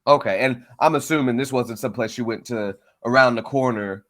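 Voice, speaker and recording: A man hosting a comics interview show, talking informally and haltingly as he thinks his questions through aloud. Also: mid-range voice, fairly bright tone.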